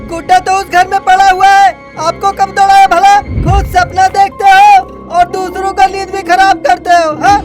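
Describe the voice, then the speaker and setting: Woman as a cheeky cartoon boy, extremely high. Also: flat delivery, normal speed, few pauses, over music. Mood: scolding. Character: cheeky cartoon boy, cocky know-it-all nephew. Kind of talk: cartoon comedy voice acting.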